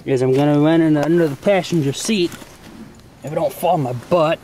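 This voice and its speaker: Folksy higher-pitched man, noisy recording. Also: normal speed, mellow. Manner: folksy, plain-spoken. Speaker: host of a hobby how-to video. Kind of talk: casual hands-on tutorial narration. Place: outdoors in and around a car.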